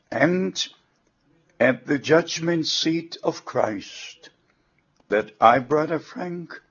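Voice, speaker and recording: A German-accented man preaching a sermon, each thought broken into short, deliberate phrases at a very slow pace. His voice sits a little high for a man. The recording is dull and lo-fi. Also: husky.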